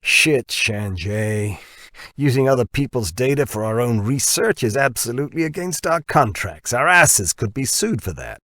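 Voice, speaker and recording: A man's voice: medium pitch, sing-song, normal speed, a bit bright, dry recording, voice pushed up. Blunt, greedy American company boss, played by a male narrator, confrontational and slangy, audiobook dialogue, dry recording. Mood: irritated, objecting.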